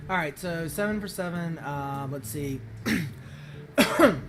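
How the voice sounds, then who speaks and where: A hoarse man with a voice that is not deep, commenting on his card game as he plays and thinking aloud with many ums and restarts. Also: unhurried, noisy background. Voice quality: voice not very deep, sounds high-pitched. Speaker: streamer playing a card game. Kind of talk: casual gameplay commentary.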